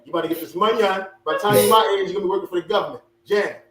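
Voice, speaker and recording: A man in his forties with a mixed Philadelphia and Baltimore accent, co-hosting a casual podcast chat, animated and joking. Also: high-pitched, racing. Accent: Philadelphia and Baltimore mix. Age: 40s. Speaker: podcast co-host. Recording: roomy echo, far from mic, faint hum.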